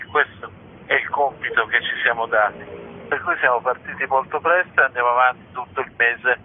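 A man with a medium-pitched voice speaks as a radio guest, setting things out in an articulate, orderly manner. His voice comes through thin and narrow, as over a telephone. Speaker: library institution representative, interview guest. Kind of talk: explanatory radio interview. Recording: tinny, narrow phone line.

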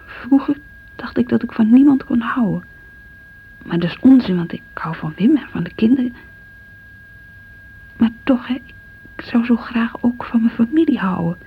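An actress playing an anxious, guilt-ridden married woman in a radio drama monologue, hushed and hesitant, with a Netherlands Dutch accent. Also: on the high side, lively intonation, normal speed, long pauses. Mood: guilty, anxious. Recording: dull, faintly noisy radio recording.

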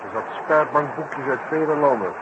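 Actor as brisk detective's colleague, dull noisy recording. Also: male voice, medium pitch, natural voice. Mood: brisk, informative. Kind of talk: 1960s Dutch radio drama acting. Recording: muffled, noisy old radio recording.

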